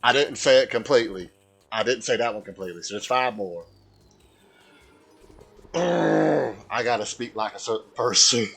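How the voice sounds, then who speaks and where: A man voicing a slow, simple, earnest Southern American man with a folksy drawl while chatting on a gaming livestream. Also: medium pitch, creaky, a bit bright, husky. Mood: earnest, resigned. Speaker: video game streamer. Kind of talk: livestream gaming chat held in a put-on voice. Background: music.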